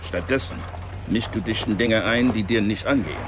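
An actor playing a cynical, worldly embassy man in a radio drama, lecturing in a measured, patronising way with veiled menace. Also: lightly acted. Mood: patronising concern. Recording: noisy ambience with vehicle rumble.

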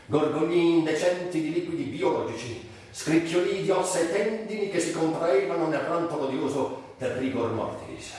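A man's voice: a little high, animated, moderate pace, a little mellow, lightly acted. An actor playing a tormented, delirious male addict in a histrionic theatrical radio monologue that swings between brooding and cries. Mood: dark brooding. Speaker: actor and director. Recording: very echoey, distant, faint music behind.

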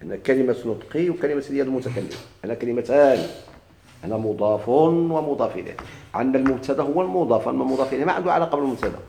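A man with a Moroccan Arabic accent teaching Arabic grammar. He explains slowly, repeats and stretches key words, and puts questions to his students. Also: medium pitch, lively intonation, gravelly, mellow, a little hiss or hum. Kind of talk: recorded grammar lesson. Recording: echoey classroom recording.

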